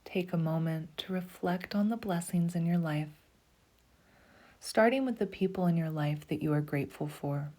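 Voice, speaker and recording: Soft-spoken woman guiding a meditation. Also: lowish voice. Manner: soft, breathy, half-whispered delivery; slow, soothing, even pacing. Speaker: meditation guide. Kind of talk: guided meditation.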